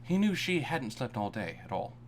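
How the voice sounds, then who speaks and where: A man's voice: medium pitch, big pitch swings, moderate pace, a little hiss or hum. A man narrating a fan-fiction story aloud for laughs, often breaking into giggles while reading.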